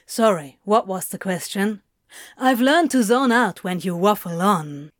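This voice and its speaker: A woman's voice: mid-range voice, expressive, unhurried, no room sound, natural voice. A woman voicing a sardonic male author with a dry, drawling, mocking manner, as in an audiobook story. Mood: nonchalant.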